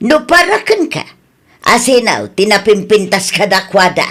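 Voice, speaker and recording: A woman's voice: medium pitch, sing-song, moderate pace, fairly bright tone. A woman with a Filipino accent playing a doting, stubborn old grandmother who complains emphatically in a radio comedy drama. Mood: stubborn, complaining.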